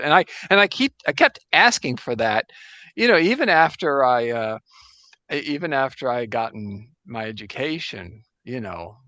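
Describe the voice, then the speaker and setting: A man playing a 17th-century Jesuit astronomer priest in a casual improvised interview over a video call, halting and full of restarts. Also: medium pitch, gravelly, bright, forceful tone, dry recording. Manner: halting, full of restarts and fillers, joking anachronisms. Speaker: guest in role-play.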